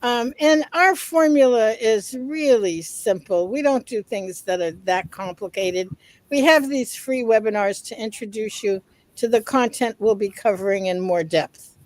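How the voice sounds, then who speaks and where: A woman's voice: fairly high pitch, unhurried, darkish tone, no echo. A woman co-hosting a casual online panel, enthusiastic as she reads out viewer comments. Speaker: webinar co-host.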